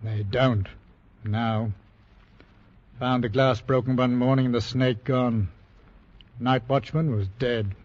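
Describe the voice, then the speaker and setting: An actor playing a gruff, cautious ship captain in an old radio drama, speaking in terse, clipped sentences. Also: male voice, medium pitch, fairly even intonation, takes it slowly, pauses often, a little mellow. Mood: foreboding. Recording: dull vintage radio recording.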